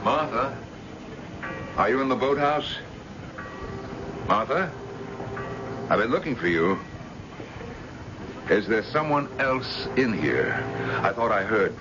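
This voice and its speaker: An actor playing a gruff, suspicious, belittling husband in an animated, theatrical 1970s radio drama style. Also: male voice, mid-range voice, takes it slowly, long pauses, music in the background. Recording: dull, noisy old radio broadcast.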